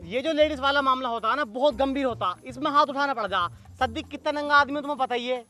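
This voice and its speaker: A man's voice: very high-pitched, fast talker, pushed and bright, soft background music. A man playing a hot-headed, boastful village henchman in a comedy sketch. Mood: pleading.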